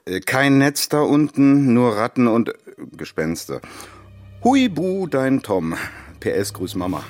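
A man's voice: mid-range voice, sing-song, a little slow, darkish tone, no echo, faint music behind. An actor playing an easygoing, placating middle-aged father with a wry manner in a children's radio play. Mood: reading aloud. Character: easygoing, soothing father of a schoolboy.

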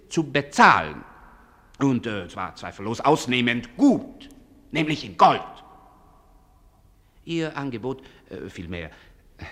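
An actor playing a coolly insistent male negotiator at a conference in a radio play, speaking formally with emphatic, halting diplomacy. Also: medium pitch, fairly bright tone. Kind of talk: German radio play acting. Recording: reverberant hall.

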